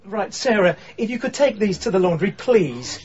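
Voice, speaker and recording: A man's voice: high-pitched, animated, moderate pace, darkish tone, dull audio. An actor playing a polite, hesitant man who runs a homeless drop-in centre in a radio drama. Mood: brisk.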